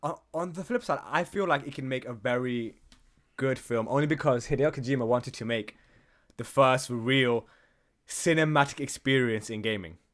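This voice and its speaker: A man's voice: mid-range voice, moderate pace, fairly bright tone. A man hosting a casual gaming podcast, talking emphatically with some swearing and frequent checking questions. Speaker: podcast host.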